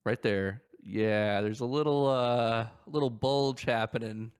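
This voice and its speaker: A man's voice: medium pitch, fairly even intonation, takes it slowly, clear, resonant tone, dry recording, studio quality. A man co-hosting a gaming podcast, chatting casually in a teasing, colloquial, joking way. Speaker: podcast co-host. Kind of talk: casual banter about video games.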